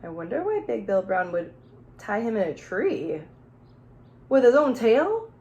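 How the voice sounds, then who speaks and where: A woman teacher reading a picture book aloud to young children, playful and expressive, pausing to ask simple questions and explain. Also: a little high, muffled recording. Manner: expressive, playful, with gasps and exclamations. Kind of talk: children's storybook read-aloud with questions and asides.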